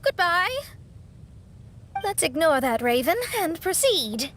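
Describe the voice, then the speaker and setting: Game astrologist, young woman: haughty, emphatic, high and expressive, given to theatrics. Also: takes it slowly, slight background noise, heavily acted. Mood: composed, inquisitive. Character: proud, dramatic young female astrologist. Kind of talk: anime-style video game acting.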